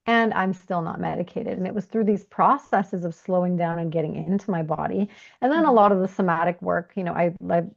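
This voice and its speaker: A woman's voice: fairly low pitch, fairly quick, gravelly. Woman podcast host, slightly muffled sound. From Canada, moved there from Bulgaria aged three. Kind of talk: warm conversational podcast interview.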